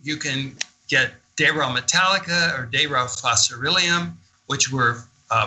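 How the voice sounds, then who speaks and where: A man's voice: fairly high pitch, bright, forceful tone, poor audio. An older man talking as a guest in a radio interview, telling of his experiences in an enthusiastic, emphatic, conversational way.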